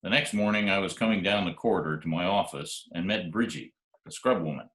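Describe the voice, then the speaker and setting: A man's voice: low voice, brisk. An actor playing a wry, hard-boiled private detective who narrates his own case in an old-time radio drama. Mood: wry narration. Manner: wry, deadpan wisecracking. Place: at home, recorded remotely. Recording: roomy remote home recording, music behind.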